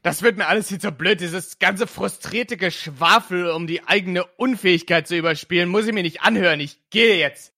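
A man voicing an irritable young adult detective who argues defiantly and shouts in anger. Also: mid-range voice, fairly even intonation, moderate pace, dry recording, studio quality, close to an everyday voice. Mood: shouting.

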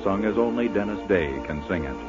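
A man's voice: on the low side, expressive, brisk. An American man reading ads and announcements as a 1940s radio announcer. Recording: muffled 1940s radio broadcast, music behind.

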